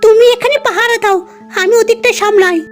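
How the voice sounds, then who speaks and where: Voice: extremely high pitch, fairly even intonation, fairly quick. A performer voicing a spiteful, scheming female character in a cartoon fable, exclaiming and scolding, with music behind. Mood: conspiring.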